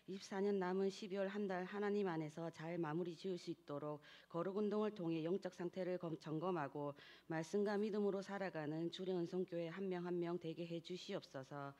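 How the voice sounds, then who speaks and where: Adult, church member, formal prayer, solemn, measured, mellow tone. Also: mid-range voice, fairly even intonation. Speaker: lay member leading prayer.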